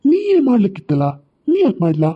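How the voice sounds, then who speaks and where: A performer voicing a brisk, bossy younger sibling in a cartoon comedy, with a Kundapura Kannada accent, recorded in muffled audio. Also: mid-range voice, wildly swooping pitch, husky.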